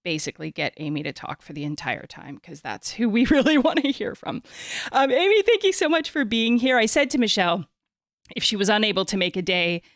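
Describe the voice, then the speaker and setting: A woman's voice: mid-range voice, big pitch swings, fairly quick, no echo, studio quality. A woman hosting a podcast in a chatty, effusive, casual way. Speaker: podcast host.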